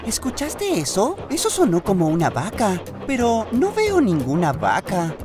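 Casting: cheerful young boy engine, Latin American accent, lively swooping pitch, unhurried, for a children's cartoon. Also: over music. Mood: excited, curious.

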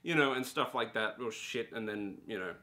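A young man giving an animated, casual spoken review to camera in a small room, full of ums and casual swearing. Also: lowish voice, normal speed. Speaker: video game reviewer. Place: small indoor room with a brick wall and shelves.

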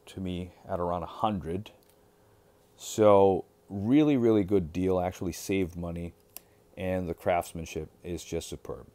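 A man casually showing a pocket knife to the camera at a table indoors, relaxed and unhurried, with fillers and trailing sentences. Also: low voice. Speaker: knife collector reviewer. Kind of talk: casual show-and-tell. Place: indoors at a cutting-mat table. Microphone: overhead camera on hands, voice from off-frame.

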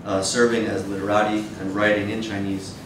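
A man in his thirties giving an academic lecture in a measured, hesitant way. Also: level tone. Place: dim wood-shelved seminar room with audience, indoors. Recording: echoey room, distant mic, noisy rumble.

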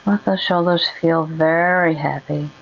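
A woman leading a guided meditation in a gentle, soothing, unhurried way with long pauses. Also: low voice, steady tone, vocal fry, highs cut off, slight background noise.

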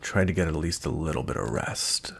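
A man playing a grumpy, cold, commanding vampire knight in a roleplay ASMR, husky and gravelly, speaking in a stern, measured way. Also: rumbling low, expressive, fairly bright tone, a little hiss or hum. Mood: gruff, softened.

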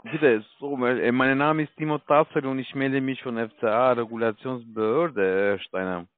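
A man with a foreign accent, not a native speaker, giving a halting, scripted pitch over the phone. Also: fairly even intonation, no echo. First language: not German. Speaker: call centre caller posing as official. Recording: thin phone line.

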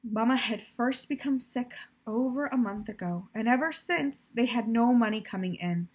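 A young woman reading a children's novel aloud in a storytelling style, with a husky voice. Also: a little high, moderate pace. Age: young adult. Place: plant-filled room indoors by a window.

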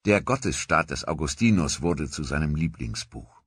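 A man reading scripted historical narration as a documentary narrator, in a measured storytelling manner. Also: very deep voice, expressive, breathy edge, no room sound.

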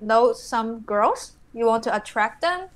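A young woman from China, friendly and encouraging, speaking halting English over a video call from a small bright room. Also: on the high side, animated. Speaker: stranger on a video chat. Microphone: earphone mic on a video call.

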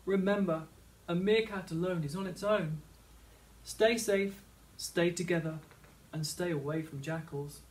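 A man's voice: high-pitched, a little slow. A man narrating a children's picture book, read aloud as a teacher would. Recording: slightly distant microphone.

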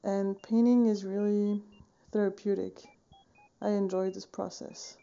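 A woman in her thirties from Switzerland, chatting casually about her painting in a relaxed, rambling way, with many fillers and small self-corrections. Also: mid-range voice, unhurried, gravelly, smooth, muffled recording. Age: 30s. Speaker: painting vlogger. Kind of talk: casual tutorial chat. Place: home studio room, indoors, wooden shelves. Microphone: phone camera at desk distance.

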